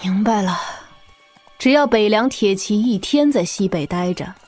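An actress playing an astute, composed woman in a martial-arts audio drama, speaking in a serious, analytical way with a husky voice. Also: medium pitch, lively intonation, a little slow, darkish tone. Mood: grave, reasoning. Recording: music behind. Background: background music.

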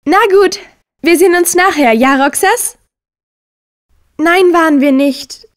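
A woman playing a friendly, upbeat teenage girl in a dubbed video game, with an earnest, expressive delivery. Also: very high voice, slow pace. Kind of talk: German video game dubbing.

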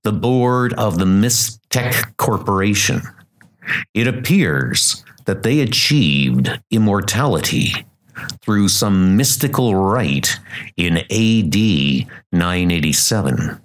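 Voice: sits low, expressive, slow pace. A performer playing a weary, ancient male assassin, narrating his thoughts tersely in a comic book dub. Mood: reflective. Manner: terse, brooding. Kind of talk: comic book inner monologue.

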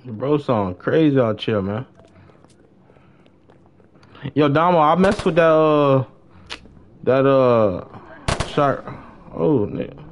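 A man's voice: mid-range voice, animated, slow pace, long pauses. A young American man chatting casually on a gaming livestream in heavy slang, often repeating his phrases. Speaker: live streamer. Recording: dull, noisy game stream audio.

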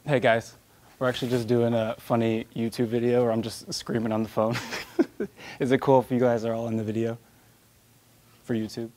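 A man's voice: mid-range voice, little pitch movement, moderate pace, a little mellow. A young man in his twenties chatting casually with strangers while making a prank video. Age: 20s. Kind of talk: casual chat with passers-by. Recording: faint background noise.

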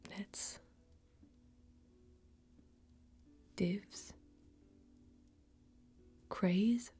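A young woman playing a tough, watchful female warrior in a fantasy ASMR role-play, whispering breathily and deliberately, with long pauses. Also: mid-range voice, fairly even intonation, warm tone, breathy edge, soft background music, exaggerated voice. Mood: testing, challenging. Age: young adult. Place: small indoor bar set with bottles. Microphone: close ASMR microphone.

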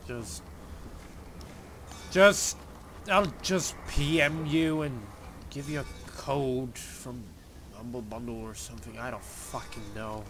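Slow, rambling, profane young gamer. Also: male voice, lowish voice, background noise. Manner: loose, profane, jokey and rambling. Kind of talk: let's play commentary. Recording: game sounds under commentary.

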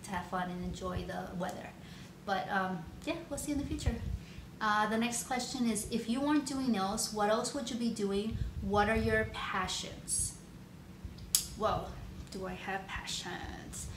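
Woman, 30s, casual vlog talk, rambling, echoey room, audible hiss. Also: medium pitch. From Chicago, United States. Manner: chatty, rambling, frequent ums and likes. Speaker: nail artist vlogger. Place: home room with shelves, indoors.

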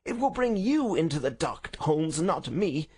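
A man voicing a vindictive, self-righteous villain with a high, dry, snarling rasp in a storybook reading. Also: huge pitch swings, unhurried, a little mellow, exaggerated voice. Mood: indignant snarling. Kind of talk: dramatised audiobook reading.

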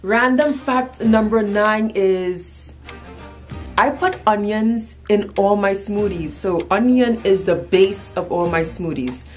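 A woman's voice: mid-range voice, slow pace, faint music behind. A woman in her thirties with a Trinidadian accent, chatting casually to camera as a playful, emphatic storyteller. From Trinidad and Tobago. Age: 30s. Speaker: vlogger. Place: small furnished room at home, indoors.

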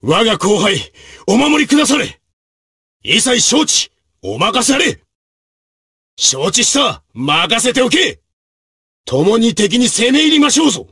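An actor voicing a brave, martial samurai officer in a video game, with gruff, raspy, declamatory delivery in archaic period speech. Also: male voice, very high-pitched, sing-song, takes it slowly, pauses often, exaggerated voice. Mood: grave. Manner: forceful, declamatory.

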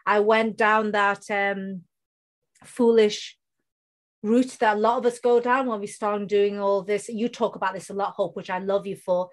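A woman in her forties from the UK with a British accent, a podcast guest speaking casually and earnestly, slowing down to stress her points. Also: mid-range voice, steady tone. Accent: British English. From United Kingdom. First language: Bengali. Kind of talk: casual interview conversation.

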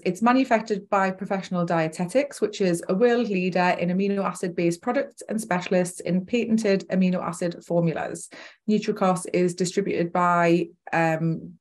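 A woman's voice: lowish voice, a little mellow. A woman in her forties with a Newcastle Geordie accent, presenting an informal product webinar.

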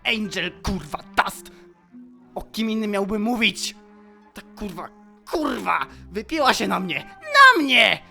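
A woman's voice: mid-range voice, sing-song, slow, creaky, sharp tone, soft background music, full character voice. A woman voicing a volatile, vulgar male pimp boss who rants crudely and theatrically. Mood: raging. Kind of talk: fan dub acting.

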